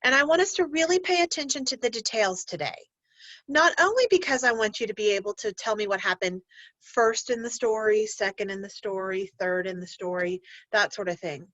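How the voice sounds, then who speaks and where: A woman's voice: medium pitch, fairly quick, a bit bright, dry recording, low-quality recording. A woman teacher reading a storybook aloud to young learners, speaking expressively and instructively and addressing the children directly.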